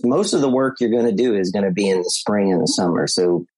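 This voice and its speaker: A man talks in a relaxed, easygoing way and often doubles back on his words. His voice is low and a little husky. The sound is dry and close to the mic. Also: fast, no room sound, poor audio. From South Louisiana. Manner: easygoing, repeats words while thinking. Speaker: podcast guest, beekeeper. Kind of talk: casual interview chat.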